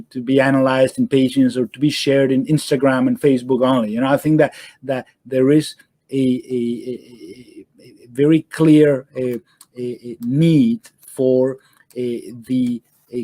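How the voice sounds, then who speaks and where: A middle-aged man from Venezuela, answering interview questions in a halting way, full of fillers and restarts, over a video call. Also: medium pitch, mellow, no echo. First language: Spanish. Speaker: guest surgeon.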